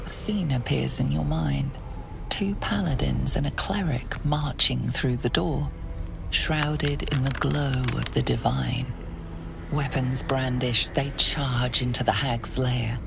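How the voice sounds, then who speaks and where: A woman narrating a dark fantasy game in a measured, hushed, grave style of scripted storytelling. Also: very deep voice, fairly even intonation, vocal fry, over music, exaggerated voice. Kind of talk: scripted second-person narration.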